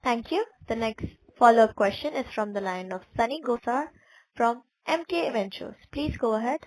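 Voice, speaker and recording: A woman's voice: fairly high pitch, normal speed, low-quality recording. A woman making scripted announcements as a conference call operator.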